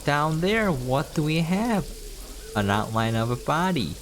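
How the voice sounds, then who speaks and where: A man's voice: on the high side, sing-song, a little slow. A man giving casual gameplay commentary as a streamer, explaining things as he plays. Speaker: video game streamer.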